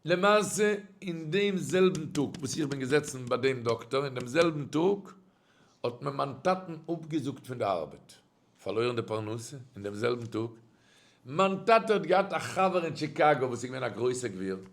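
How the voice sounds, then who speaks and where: A man's voice: medium pitch, normal speed. A middle-aged man telling a story in a sermon, speaking with a Yiddish accent and often swinging his voice up and down. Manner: animated storyteller, voice rising and dropping sharply. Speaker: rabbi giving a religious talk.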